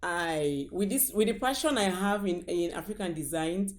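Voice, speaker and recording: A woman's voice: lowish voice, normal speed. A woman in her thirties with a Cameroonian accent, answering as an interview guest in short, emphatic, listed phrases. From northwest Cameroon. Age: 30s. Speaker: guest, fashion designer and business founder. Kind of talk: interview answers about own experience. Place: indoor studio.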